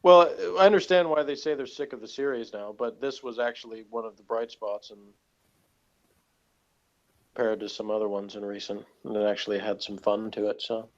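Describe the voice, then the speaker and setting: A man's voice: on the low side, brisk, dull audio. A man chatting casually as he reviews a TV episode, giving opinions with wry humour.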